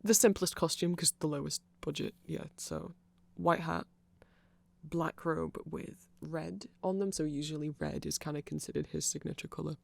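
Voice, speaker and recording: Creaky-voiced ASMR rambler, sex unclear. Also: medium pitch, animated, moderate pace. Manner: nerdy, self-deprecating, digressive. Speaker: ASMR creator explaining band lore. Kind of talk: casual rambling ASMR explainer.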